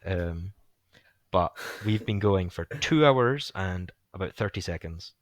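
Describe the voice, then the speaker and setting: A young man hosting a casual film podcast, rambling with lots of fillers, his voice going hoarse. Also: deep voice, animated, measured pace, no room sound. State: voice getting hoarse. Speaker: podcast host.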